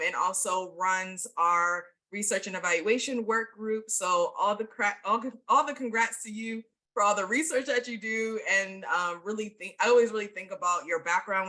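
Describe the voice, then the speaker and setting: A woman's voice: mid-range voice, sharp tone. A woman hosting and moderating an online panel discussion, introducing the guests in an enthusiastic, casual way with frequent fillers. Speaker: host, moderator.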